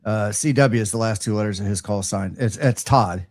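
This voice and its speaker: A man telling stories in casual chat, halting and often repeating his words as a show host. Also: on the low side, dry recording, clean studio sound. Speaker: host of a live ham radio show.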